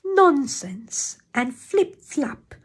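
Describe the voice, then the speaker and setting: A woman's voice: high voice, extremely slow, a little hiss or hum, full character voice. A woman voicing a mischievous, playful male puddle creature with a tail and paws, speaking in bouncy rhymes. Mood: gleeful. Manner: bouncy, rhyming, excitable.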